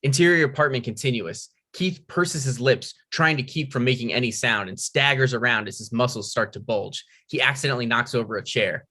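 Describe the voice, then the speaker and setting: Guy reading screenplay directions, bright crisp-edged voice, medium pitch. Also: fairly quick, hardly any pauses. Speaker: stage-direction narrator.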